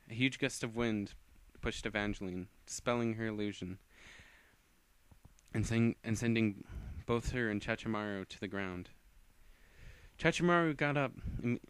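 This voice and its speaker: A man's voice: fairly low pitch, clear, resonant tone, dry recording. A man narrating fan fiction as an amateur reader, often stumbling and rereading phrases.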